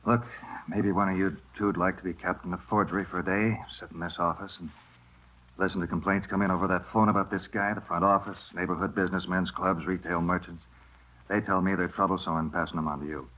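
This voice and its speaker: A gruff American police captain, deep-voiced and very quick. He is brusque and demanding, in old radio drama style. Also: steady tone. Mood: irritated. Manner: brusque, emphatic. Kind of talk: 1950s radio crime drama acting. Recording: dull old radio recording.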